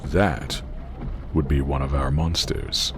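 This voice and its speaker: An actor playing a cold, aloof elite soldier commander in a video game, speaking in a measured, detached way. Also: male voice, a bass voice, little pitch movement, noisy background. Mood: cool, detached.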